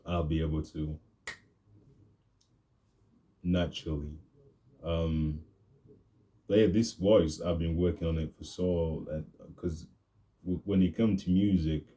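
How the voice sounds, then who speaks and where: A young man, talking in a rambling, casual vlog monologue and deliberately pushing his voice deeper. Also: mellow. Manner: deliberately deepened, laid-back voice. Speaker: vlogger.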